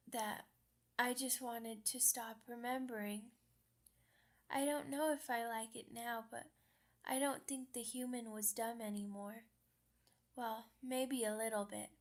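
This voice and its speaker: A woman voicing a sad, earnest young female werewolf pup in an ASMR roleplay, with hesitant, childlike phrasing. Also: a little high.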